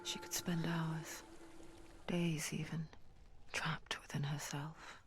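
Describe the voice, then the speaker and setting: A woman playing an intimate, ominous narrator of a dark myth, with solemn, deliberate, hushed scripted game narration. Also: low-pitched, flat delivery, background noise.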